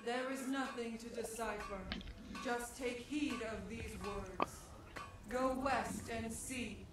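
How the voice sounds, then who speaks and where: A woman's voice: fairly high pitch, loud music behind the voice. A woman voicing a mystical female spirit in a fantasy game, solemn and cryptic, as if chanting a prophecy. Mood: solemn, ominous. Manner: solemn, cryptic, slow incantation. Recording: distant, cavernous echo with noisy ambience.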